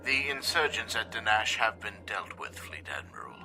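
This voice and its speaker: Military officer played by a man: formal report delivery, fairly deep, varied pitch, music clearly behind. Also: big pitch swings, normal speed, sharp tone, heavily acted. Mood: businesslike. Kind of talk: scripted comic dub acting. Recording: music under the dialogue.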